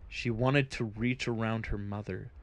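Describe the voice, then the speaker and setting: A man narrating a scripted fantasy story in a solemn, measured, dramatic style. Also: lowish voice, steady tone.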